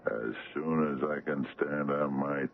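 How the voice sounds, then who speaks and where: An actor playing a gruff but grateful sea captain on the mend in a 1970s radio drama. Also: male voice, extremely low pitch, fairly even intonation, unhurried. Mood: tender, weary. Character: grateful, gruff male sea captain recovering from near death. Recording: tinny vintage radio with background noise.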